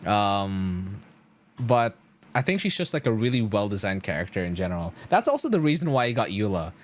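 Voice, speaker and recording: A man's voice: on the low side, very animated, moderate pace. A man streaming a game, chatting casually to viewers in an enthusiastic, slangy, rambling way.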